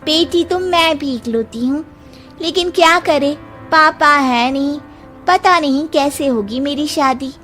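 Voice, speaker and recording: A woman's voice: high-pitched, unhurried, a bit bright, voice pushed up. A woman voicing a plaintive, envious young sister-in-law in a cartoon story. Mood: self-pitying. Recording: music behind the voice.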